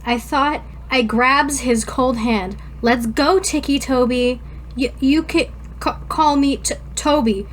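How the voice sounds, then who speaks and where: A woman's voice: high voice, takes it slowly, a little hiss or hum. A woman co-hosting a podcast, chatting casually and reading fan fiction aloud in an irreverent, slangy way. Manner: irreverent, slangy, swears freely. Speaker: podcast co-host.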